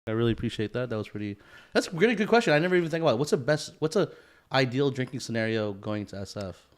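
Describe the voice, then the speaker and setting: Casting: young Bay Area guy, animated pitch, crude jokey podcast host who swears a lot. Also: racing. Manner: laughs a lot, swears freely. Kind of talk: casual crude banter.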